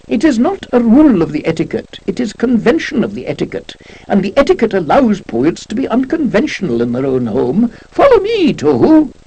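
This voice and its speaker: A man's voice: wildly swooping pitch, a little fast, mellow, breathy edge, exaggerated voice. A man voicing a snobbish, self-important court poet, pompous and pedantic, in a story on old cassette. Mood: haughty. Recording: low-quality transfer from old audiocassette.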